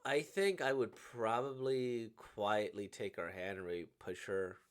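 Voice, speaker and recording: A man's voice: on the low side, measured pace, clear, resonant tone. A man giving casual playthrough commentary as a video host reacting to what he reads.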